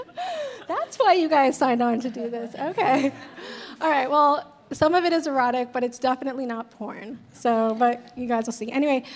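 A woman moderating a live panel, introducing each guest in a chatty, casual way with blunt jokes. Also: sits high. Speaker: event host, moderator. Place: gallery stage before a large audience. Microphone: handheld mic.

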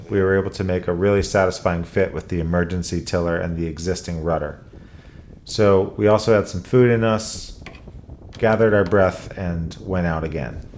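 A man narrating his own sailing trip in a relaxed, self-deprecating way, with an American accent. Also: low voice, background noise. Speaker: sailing vlogger.

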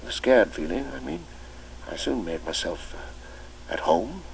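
A man's voice: fairly low pitch, sing-song, measured pace, vocal fry, slight background noise, voice pushed up, full character voice, poor audio. A man reading an audiobook, voicing a kindly elderly male schoolmaster who hesitates with many ums and uhs. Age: older.

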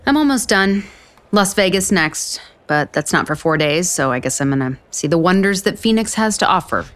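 An actress playing a wry, foul-mouthed author on a book tour, dry and conversational in a naturalistic audio drama. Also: female voice, fairly low pitch, lively intonation, moderate pace, a bit bright. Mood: wry, casual.

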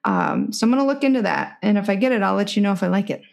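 A woman's voice: medium pitch, lively intonation, rapid-fire, creaky. Chatty woman hosting a podcast. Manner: chatty, asks questions with brief affirmations. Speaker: podcast host. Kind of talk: casual interview chat. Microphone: home podcast mic.

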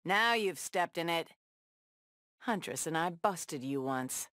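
Sassy tough superhero woman in a fighting game, lowish voice, slow punchy taunts, dry close sound. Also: lively intonation, a bit bright, dry recording. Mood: cocky, taunting. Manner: taunting, wry, punchy one-liners. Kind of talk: video game battle banter.

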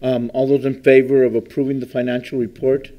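A man chairing a board meeting, calling motions and votes in a brisk, procedural way. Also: medium pitch, level tone, highs cut off.

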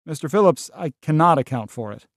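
A man playing a self-assured, dismissive astronomy professor, speaking in a measured, formal, scholarly way in a 1930s-style radio drama. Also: on the high side, lively intonation, no echo, studio quality.

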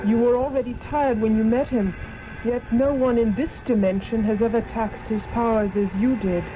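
A woman's voice: a little high, fairly even intonation, moderate pace, noisy background, lightly acted. An actress playing a noble, enslaved woman from another world in a 1960s radio drama, speaking in a formal, grave manner. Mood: solemn warning. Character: noble otherworldly enslaved woman, solemn and warning. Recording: muffled old radio recording with music.

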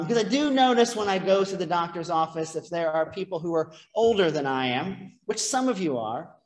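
Middle-aged man preaching in a reverberant church. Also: high-pitched. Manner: conversational, self-deprecating preaching with asides. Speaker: priest. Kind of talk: sermon. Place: church sanctuary, behind a pulpit.

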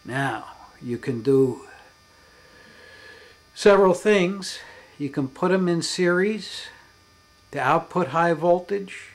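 A man giving an unscripted demonstration as a hobbyist, in halting, broken phrases with emphatic stresses. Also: high-pitched. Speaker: hobbyist demonstrating his electronics experiments.

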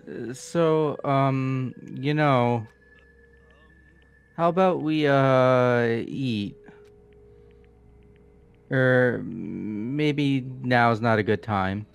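A man voicing an awkward, timid young man in a video game, halting and hesitant. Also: medium pitch, creaky, clean tone. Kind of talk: amateur video game voice-over. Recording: background music throughout.

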